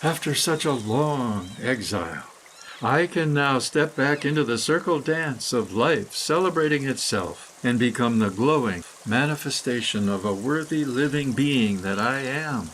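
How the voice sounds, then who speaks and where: A man's voice: mid-range voice, animated, a bit bright, a little hiss or hum, poor audio. Man reading meditation over trickling water. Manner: measured, deliberate phrasing with pauses and repetition. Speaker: guided meditation reader, author. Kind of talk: scripted guided meditation, poetic spoken essay.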